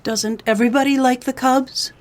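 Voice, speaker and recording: A woman's voice: high-pitched, steady tone, normal speed, lightly acted. A woman playing a coy, scheming femme fatale widow in a comedic noir radio play, sweet-talking with pet names. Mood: plaintive.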